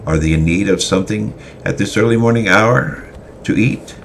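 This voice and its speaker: A man's voice: fairly low pitch, moderate pace, creaky, voice pushed up. A man voicing a kind, caring, tiny village woman who speaks in archaic formal phrases, over faint background noise. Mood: inquiring. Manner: archaic formal speech, raised voice.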